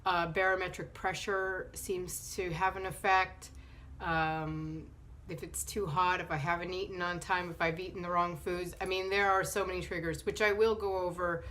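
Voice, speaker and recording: A woman in her thirties with a cold, sounding a little nasal, talking casually to camera in a living room and pausing often with fillers. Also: on the low side, little pitch movement, a bit bright. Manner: hesitant, many fillers and restarts. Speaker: vlogger. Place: sunny living room indoors.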